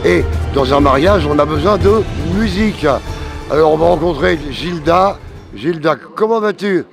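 A man's voice: a little high, normal speed, creaky, over music. Upbeat older man reporting from a fair. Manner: upbeat, promotional, addresses viewers directly. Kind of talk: roving local TV report. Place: large indoor exhibition hall. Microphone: handheld mic.

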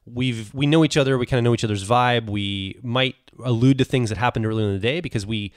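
A man's voice: mid-range voice, fast, dry recording, clean studio sound. A male podcast co-host talking casually and explaining things, a bit tired after a long week.

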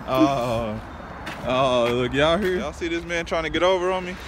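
A man's voice: a little high, steady tone, a little slow. A man in his thirties with an American accent, chatting and haggling casually in a joking, teasing way as he vlogs on a phone. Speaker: vlogger and car dealer picking up auction cars. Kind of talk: casual banter and haggling, talking to camera. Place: outdoors in a car lot, later inside a car. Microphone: phone at arm's length. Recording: noisy handheld phone recording with wind and vehicles.

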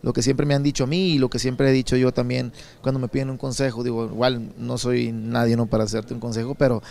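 A Mexican man in his thirties from Sinaloa, answering interview questions at an indoor expo booth into a handheld mic, relaxed and rambling with many repeated words. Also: medium pitch, fast, vocal fry. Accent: Sinaloan Mexican Spanish. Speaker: songwriter and singer.

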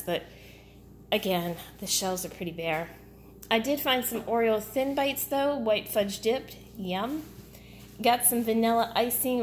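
A woman's voice: fairly high pitch, a little hiss or hum. A middle-aged woman casually chatting through a grocery haul at her kitchen table, rambling with fillers as she goes. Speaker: vlogger. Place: home kitchen table, indoors.

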